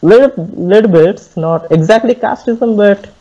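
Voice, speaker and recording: A young man from West Bengal joins over a dull, lo-fi video call, his voice warm and mellow yet a little high. He explains things with examples and quick asides in a casual livestream chat. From West Bengal, India. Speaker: guest. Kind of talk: casual live-stream discussion. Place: room indoors.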